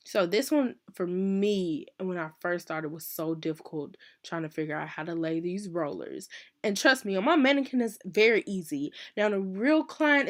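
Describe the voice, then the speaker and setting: A sick woman, her voice hoarse and worn as she talks viewers through a hair tutorial. She is casual and chatty and often stops mid-phrase to correct herself. Her pitch sits in the middle of a woman's range. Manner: chatty, uses "y'all", self-correcting. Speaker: hairstylist teaching a tutorial.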